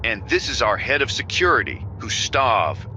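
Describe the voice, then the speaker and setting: A man's voice: medium pitch, very animated, moderate pace, pushed and bright, muffled recording, slight background noise. A man playing a blunt, bossy male sergeant who mocks the new recruit. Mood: brisk. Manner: blunt, mocking.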